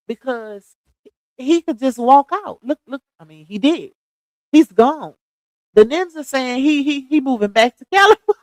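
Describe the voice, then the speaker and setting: A woman's voice: mid-range voice, darkish tone, no room sound, rough recording. A woman in her thirties chatting casually on a livestream, gossiping, laughing often and talking straight to her viewers. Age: 30s.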